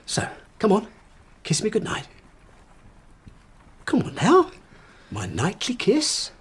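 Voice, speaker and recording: Desperate uncle, middle-aged, forced heartiness, lively swooping intonation, audio drama, audible noise. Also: male voice, high-pitched, takes it slowly, exaggerated voice. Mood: jovial, bossy. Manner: wheedling, blustering, forced joviality. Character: desperate, falsely jovial middle-aged uncle and family man.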